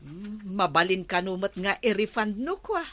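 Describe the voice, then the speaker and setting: A woman's voice: medium pitch, very animated, normal speed, fairly bright tone. A woman with an Ilocano accent playing a homely, chatty village woman in a radio comedy drama.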